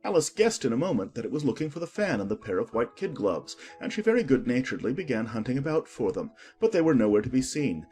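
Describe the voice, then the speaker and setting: Expressive male storybook narrator. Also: medium pitch, fast talker, hardly any pauses, soft background music. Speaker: audiobook narrator.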